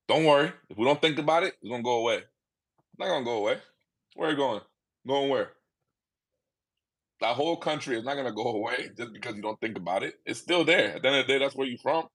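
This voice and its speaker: A man with a Liberian accent, talking casually to his viewers and acting out quick back-and-forth exchanges. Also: mid-range voice. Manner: animated, acts out quick dialogues in put-on voices. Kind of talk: casual monologue to camera.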